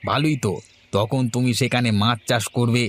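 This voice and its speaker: A man's voice: mid-range voice, steady tone, fairly quick, close to an everyday voice. A man voicing a glib village headman in a cartoon, giving breezy, matter-of-fact advice. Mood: breezy advising. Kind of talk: cartoon dialogue.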